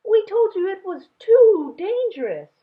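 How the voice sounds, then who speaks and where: An anxious, loving old grandfather from a storybook as a woman reader acts him out, urgent and protective. The voice is pitched high and swings widely with emphatic exclamations, through a tinny, phone-like recording. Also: big pitch swings, unhurried, exaggerated voice. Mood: relieved, scolding. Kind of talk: children's storybook read-aloud. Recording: tinny, phone-like narrow sound.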